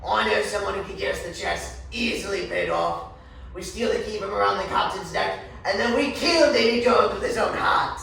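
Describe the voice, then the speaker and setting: A man's voice: high-pitched, moderate pace, creaky, fairly bright tone, heavily acted. A man playing a skeptical, exasperated pirate crewmate in a stage readers theatre performance. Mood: exasperated doubt. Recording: echoey room, far mic, noisy.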